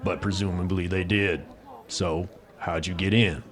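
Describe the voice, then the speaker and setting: A man's voice: low voice, fairly even intonation, unhurried. An actor playing a shrewd, wary smuggler in an audio drama, blunt and folksy in his talk. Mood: wary, probing. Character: gruff, wary, shrewd male smuggler with stubble and moustache.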